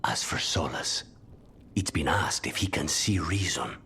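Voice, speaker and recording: An actor playing a grim, honour-bound assassin who shares himself with a demon, speaking in a hushed, breathy, measured way for a video game. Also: male voice, extremely low pitch, animated, whispered, full character voice. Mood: grave. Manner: measured, solemn, deliberate. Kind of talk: dramatic video game acting.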